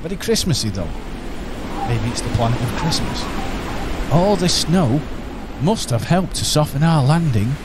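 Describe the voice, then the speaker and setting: A man playing a calm, eccentric male alien time-traveler in a radio play, talking in a musing, whimsical way. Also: on the high side, normal speed. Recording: radio play with clear background noise.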